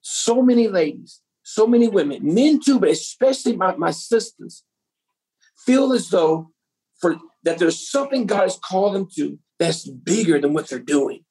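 Brief: woman pastor, gravelly husky voice, preaching, rhetorical questions to the room. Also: lowish voice, animated, moderate pace, dark tone, low-quality recording. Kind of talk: sermon to a women's group.

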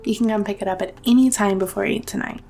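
An actress playing a brisk, polite apartment front desk attendant in an audio drama, businesslike in manner. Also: female voice, medium pitch, fairly even intonation, dark tone, natural voice. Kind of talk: naturalistic audio drama acting.

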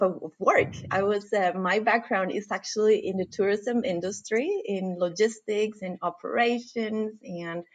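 A middle-aged woman from Costa Rica, a guest in a casual podcast interview, talking with eager enthusiasm and many fillers. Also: mid-range voice.

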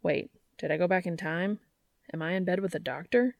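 A woman's voice: on the low side, moderate pace, vocal fry, dry recording, studio quality. A woman voicing an eager, tender, slightly awkward male lover in an audiobook romance, murmuring casually. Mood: confused. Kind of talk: audiobook character voice.

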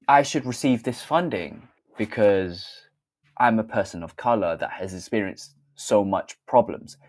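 A young British man answers interview questions in a low voice. He talks candidly and thoughtfully about his work as a dancer. He often breaks off to correct himself and adds small fillers. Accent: British English. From Britain. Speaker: dancer and theatre maker. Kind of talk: interview answer, reflective storytelling.

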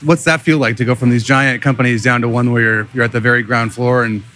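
A man's voice: medium pitch, fairly even intonation, fast talker, a bit bright, soft background music. A man hosting a podcast, speaking in a casual, conversational interviewing style. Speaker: podcast host.

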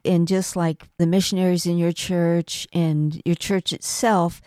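A middle-aged woman co-hosting a podcast, talking to listeners in an earnest, gentle, conversational way. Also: lowish voice, level tone, measured pace, no echo, clean studio sound. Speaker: podcast co-host and ministry performer.

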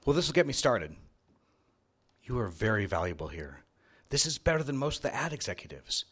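An actor playing a married advertising boss in a live radio drama, commanding and emphatic yet smitten. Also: male voice, mid-range voice, sing-song, a little fast, fairly bright tone, husky. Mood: approving, fond. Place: live on stage in a theater.

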